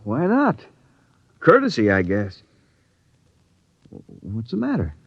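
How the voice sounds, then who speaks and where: A man's voice: mid-range voice, slow pace, breathy edge, dry recording, exaggerated voice. An actor playing an ordinary, earnest young crewman who is plainspoken and defensive, in old-time radio drama style. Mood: puzzled, defensive. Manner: plainspoken, questioning, animated. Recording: dull vintage radio recording.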